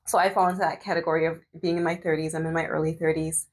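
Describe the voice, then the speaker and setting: A woman's voice: low voice, level tone, fast talker. A woman in her thirties vlogging while doing her hair, chatting casually with lots of fillers and restarts. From Canada. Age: 30s. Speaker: medical student and vlogger.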